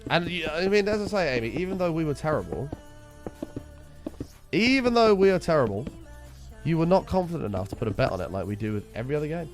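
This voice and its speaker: Hoarse streamer, animated banter. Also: male voice, fairly high pitch, quick pace, pauses often, faint music behind. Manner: bantering, wry, teasing chat members. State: hoarse, sore voice. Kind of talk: casual chat with viewers.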